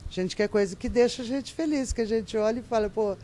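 Woman, middle-aged, very high voice, chatty and playful, indoor craft fair, handheld mic. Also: brisk, slight background noise. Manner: chatty, playful, animated when quoting herself. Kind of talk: TV news interview. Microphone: handheld reporter mic.

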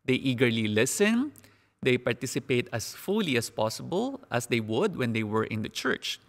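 A young adult man, a priest, giving a measured homily for a live-streamed mass from a church, with rising emphasis on key words and a headset mic. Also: mid-range voice, big pitch swings. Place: church sanctuary, indoors. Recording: reverberant church hall.

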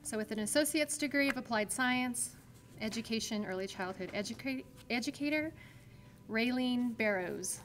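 A woman formally reading out graduate names at a commencement, slow and measured. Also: a little high, fairly bright tone. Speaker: commencement name reader. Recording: with music in the background.

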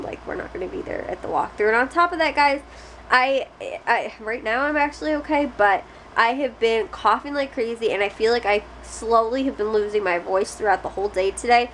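A woman's voice: mid-range voice, fairly bright tone, slight background noise, poor audio. A young adult woman vlogging casually to a close camera in a small bedroom, rambling with lots of fillers and restarts. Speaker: family vlogger. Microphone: close camera at face height.

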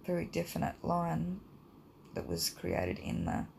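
A woman with a croaky voice from hay fever, teaching a drawing lesson in a relaxed, rambling way with many ums. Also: fairly low pitch, unhurried, a little hiss or hum. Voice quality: croaky, gravelly. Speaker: art teacher. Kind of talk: casual drawing tutorial voice-over.

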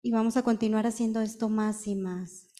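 A woman's voice: on the high side, steady tone, a little fast, dark tone. A woman interpreting a talk live before a hall audience, fluent, sometimes restarting a word. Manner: fluent, sometimes restarts and lifts her voice at light moments. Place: large hall with audience, indoors.

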